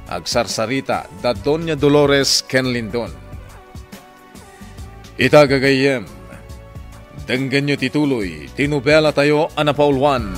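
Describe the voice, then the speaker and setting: A man's voice: mid-range voice, slow, pauses often. A man with a Filipino accent reading a scripted recap as narrator of a radio drama. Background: music.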